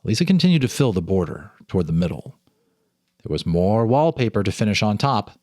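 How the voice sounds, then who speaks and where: A man's voice: on the low side, big pitch swings, fairly quick, mellow, dry recording, studio quality. A man reading a ghost story aloud as a storyteller, with a theatrical, suspenseful delivery. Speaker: storyteller, host.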